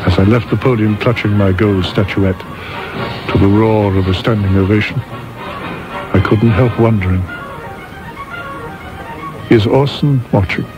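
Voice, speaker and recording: An actor playing an urbane, wry film and theatre producer, articulate, formal and dry, in a radio play. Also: male voice, on the low side, steady tone, measured pace, long pauses, close to an everyday voice, low-quality recording. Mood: measured, reflective. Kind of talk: radio drama dialogue and monologue. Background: music.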